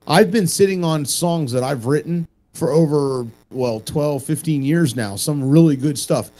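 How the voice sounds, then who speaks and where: Emphatic, higher-voiced man chatting. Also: unhurried, mellow. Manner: emphatic, profane, opinionated. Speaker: podcast panelist. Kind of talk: casual panel chat.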